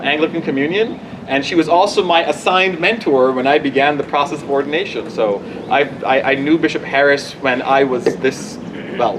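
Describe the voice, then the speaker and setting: A man in his forties from Barbados, a bishop informally answering questions outdoors in a halting, conversational way that often restarts sentences. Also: a little high, background noise. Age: 40s. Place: outdoors at a church gathering.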